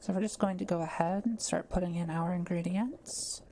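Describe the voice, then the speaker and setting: A woman playing a kind, quiet forest witch in an audio roleplay, speaking gently and patiently as she explains things to the listener. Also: on the low side, fairly even intonation, normal speed, slight background noise, natural voice. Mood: focused, explanatory. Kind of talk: soft-spoken audio roleplay addressed to the listener.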